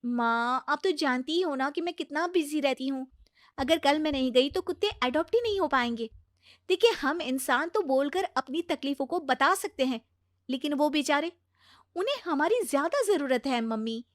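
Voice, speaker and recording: Woman acting high-pitched self-righteous young daughter-in-law. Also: animated, normal speed, no echo, studio quality. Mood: preachy. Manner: self-righteous, excuse-making. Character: busy, self-important young daughter-in-law, preachy and exasperated. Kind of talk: acted dialogue in a Hindi moral story.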